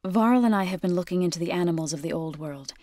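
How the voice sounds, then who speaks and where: Low-pitched, earnest warrior woman, played by an actress. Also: quick pace, dark tone, no echo, natural voice. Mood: earnest, steady. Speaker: video game character. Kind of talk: scripted video game dialogue.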